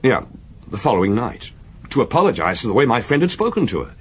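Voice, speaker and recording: A man's voice: mid-range voice, big pitch swings, quick pace, a little hiss or hum, close to an everyday voice. An actor playing an earnest, lovelorn young junior detective in a radio drama, hesitant and defensive but deferential to his superior.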